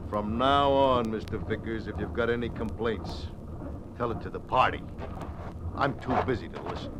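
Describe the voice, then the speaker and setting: A man's voice: on the low side, moderate pace, natural voice. An American man acting a resentful, insolent oil-field engineer in a 1950s radio drama, surly and plain-spoken. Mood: defiant, resentful. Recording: noisy old 1950s radio broadcast.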